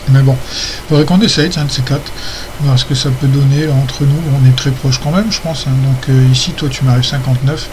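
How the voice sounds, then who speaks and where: Man, amateur radio operator, casual, hesitant rambling, mid-pitched, clearly noisy recording. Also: steady tone, normal speed. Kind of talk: casual ham radio chat.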